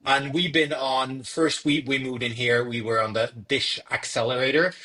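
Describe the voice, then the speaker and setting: A young man from Norway answers questions about his startup in a bright, forceful voice. He speaks in a halting way and keeps repeating words and filling pauses. His pitch sits in the middle for a man. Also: little pitch movement. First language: Norwegian. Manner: hesitant, many fillers and repeated words. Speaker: startup founder. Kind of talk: interview answers.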